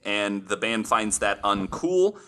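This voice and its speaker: A man with a fairly low, bright and forceful voice hosts a podcast. He tells stories from his notes in a casual, informal way and is happy to swear. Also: very animated, slow. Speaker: podcast host and storyteller.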